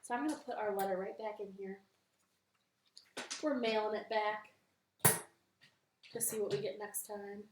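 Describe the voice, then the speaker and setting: A woman's voice: mid-range voice, steady tone, a little slow, pauses often, reverberant, noisy background. A woman hosting a library storytime, reading to children in a cheerful, sing-song way from her living room.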